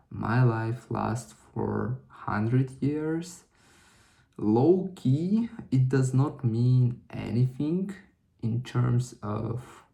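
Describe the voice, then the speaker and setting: A young man talking to camera in a reflective vlog, thinking aloud in a halting way with many restarts. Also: mid-range voice, vocal fry, dark tone. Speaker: vlogger. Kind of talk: reflective solo vlog monologue.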